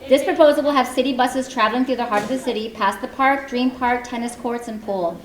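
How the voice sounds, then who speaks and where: Woman reading out her comment fast, kinda high voice, echoey meeting hall. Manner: fast, earnest, reads prepared remarks; loud voice. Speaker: public commenter. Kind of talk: prepared public comment. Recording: echoey hall, faint room noise.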